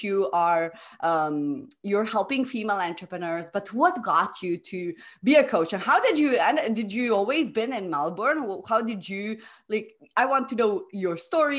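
A woman hosting a coaching podcast, speaking in an effusive, emphatic way as she presents the show and interviews guests. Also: mid-range voice. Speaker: podcast host and business coach.

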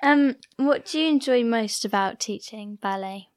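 A woman's voice: fairly high pitch, normal speed. A woman interviewing a guest, asking hesitant questions and giving brief agreeing replies.

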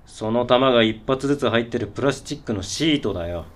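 An actor playing a cocky, condescending self-styled veteran who talks in brusque hard-boiled slang in a radio drama. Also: male voice, mid-range voice, lively intonation, a little fast, natural voice. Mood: gruff, instructive. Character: cocky self-styled veteran antidepressant user talking like gunman. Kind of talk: Japanese radio drama dialogue.

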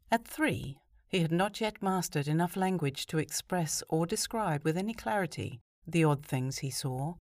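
A woman narrates scripted horror fiction in a low register with noticeable vocal fry. Her storytelling is measured and expressive, and the recording is dry and free of room sound. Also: no echo. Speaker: audiobook narrator.

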